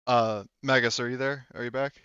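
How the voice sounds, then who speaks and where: A man's voice: medium pitch, little pitch movement, fairly quick, a bit bright, clear, resonant tone, no echo, lo-fi sound. A man chatting casually while running an online tabletop game.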